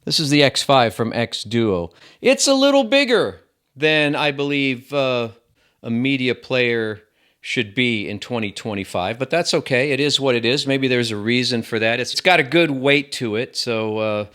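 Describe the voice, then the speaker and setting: Bright-voiced man reviewing gadgets. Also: medium pitch, expressive, normal speed, clear, resonant tone. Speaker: tech reviewer, host. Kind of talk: casual gadget review to camera.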